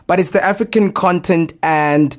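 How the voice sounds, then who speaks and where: Man, radio host, easygoing, affirming, slightly raised pitch. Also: moderate pace. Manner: laid-back, affirming, peppers speech with bro and man. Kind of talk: radio interview conversation. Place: radio studio.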